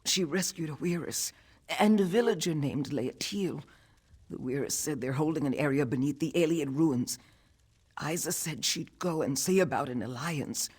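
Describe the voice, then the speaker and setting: An actor playing a wounded, loyal scout in a fantasy game, with a husky voice and a strained, earnest manner. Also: medium pitch, moderate pace, darkish tone, natural voice. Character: wounded scout, defiant, loyal, self-sacrificing. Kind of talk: video game character acting.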